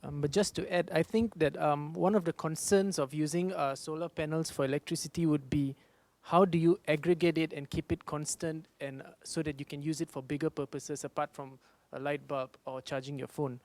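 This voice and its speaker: A man's voice: a little high, steady tone, fairly quick, darkish tone. A man in his thirties with a Singaporean accent, answering questions on a panel in a hesitant way with many fillers. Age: 30s. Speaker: panel speaker.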